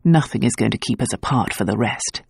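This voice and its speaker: A man reading an audiobook, voicing a gruff, protective nobleman husband in a low, tender voice. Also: expressive, brisk, dry recording, clean studio sound. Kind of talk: audiobook dialogue.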